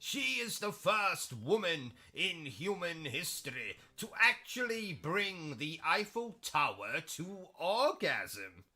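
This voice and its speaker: A man's voice: a little high, sing-song, slow pace, hardly any pauses, pushed and bright, heavily acted. A man with a British accent playing a pompous, rude, deranged posh gamer who hosts a gameplay stream and talks in a haughty, mock-grand way with rude outbursts. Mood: snooty. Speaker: gaming streamer persona. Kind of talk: comedic gameplay commentary.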